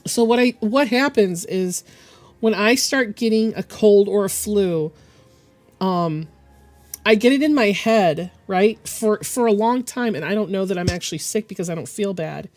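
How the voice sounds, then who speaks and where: Hoarse sick woman with a rough voice. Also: medium pitch, a little mellow, faint music behind. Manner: halting, rambling, with frequent throat clearing. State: hoarse and deepened from bronchitis. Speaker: digital art streamer. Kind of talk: casual live-stream chat.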